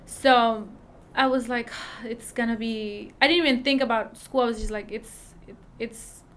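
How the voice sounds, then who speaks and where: Halting woman with a Mexican accent. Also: a little high, steady tone, fairly bright tone, slight background noise. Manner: halting, many fillers and restarts. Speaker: school staff member. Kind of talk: interview answer.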